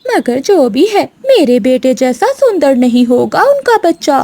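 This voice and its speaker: Woman voicing a high-pitched spiteful lady crow. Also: sing-song, a little slow, mellow, no echo, full character voice. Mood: grumbling. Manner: scolding, conniving. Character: spiteful scheming female crow neighbour.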